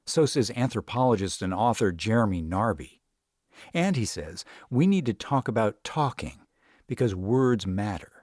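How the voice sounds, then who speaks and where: A man narrating a scripted introduction to the episode in a measured, polished way. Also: fairly low pitch, lively intonation, a little mellow, no room sound, studio quality. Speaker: show narrator.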